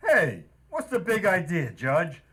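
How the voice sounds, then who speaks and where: A man's voice: fairly high pitch, huge pitch swings, slow, fairly bright tone, husky, full character voice. A man playing a smug butcher and would-be suitor in an old-time radio comedy. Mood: indignant.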